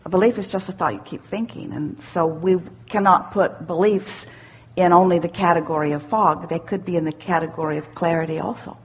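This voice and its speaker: Deliberate, assured woman teaching, slightly husky. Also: fairly low pitch, steady tone. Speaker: speaker leading a question-and-answer workshop. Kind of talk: spiritual self-help teaching to a questioner.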